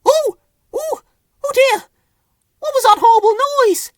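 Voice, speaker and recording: A woman's voice: extremely high pitch, measured pace, darkish tone, no echo, studio quality, pitched above the performer's own voice. A woman voicing a timid, fretful little female spider in a children's story. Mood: frightened. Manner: fretful, exclaiming.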